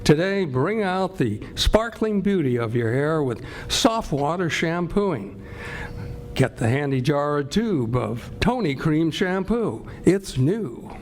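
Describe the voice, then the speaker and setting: A man's voice: fairly high pitch, very animated, takes it slowly, darkish tone, a little hiss or hum. A man working as an old-time radio announcer, reading scripted introductions and ads in a declamatory salesman's style.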